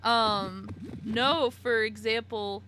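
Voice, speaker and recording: Brief: late-twenties woman streamer, chatty and giggly, slow easy delivery with a fairly high voice. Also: steady tone, bright, forceful tone, a little hiss or hum. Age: 20s. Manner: chatty, giggly, answers chat by name. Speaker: live streamer. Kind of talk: casual live-stream chat while playing.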